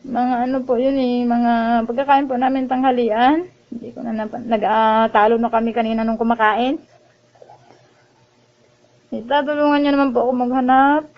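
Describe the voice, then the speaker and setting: A woman's voice: fairly high pitch, little pitch movement, normal speed, pauses often. A woman with a Filipino accent chatting casually in a polite, worried, pleading way. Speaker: vlogger making a prank phone call. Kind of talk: casual phone chat, worried-sounding pleading. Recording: dull lo-fi audio with faint noise.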